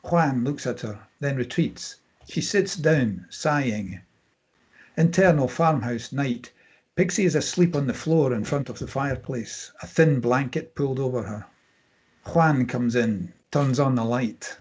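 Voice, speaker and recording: A man with a husky, mid-pitched voice reads screenplay directions. It is scripted narration, setting out scenes and actions in short statements. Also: measured pace, darkish tone. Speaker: stage-direction reader.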